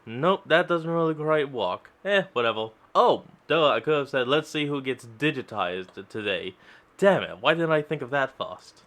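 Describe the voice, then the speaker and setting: Guy doing casual reaction commentary, bright voice, rambly asides. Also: mid-range voice, expressive, normal speed. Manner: rambling, self-correcting asides. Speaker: reaction video host.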